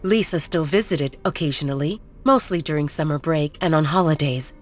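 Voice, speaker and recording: A woman narrating a romance audiobook at a steady storytelling pace. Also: deep voice, normal speed. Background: soft music.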